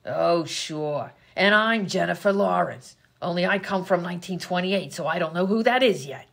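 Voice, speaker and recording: A woman playing a gruff, bossy 1920s vaudeville comedienne, slangy and sarcastic, in an old-time radio comedy sketch. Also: lowish voice, normal speed, a bit bright. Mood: scornful. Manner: brash, slangy, sarcastic insults.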